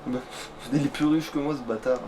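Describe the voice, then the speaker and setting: A man's voice: medium pitch, fairly even intonation, fairly quick, a little mellow. A man giving casual, slangy, sweary gaming commentary with a friend. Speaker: let's play gamer. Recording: faint background game noise.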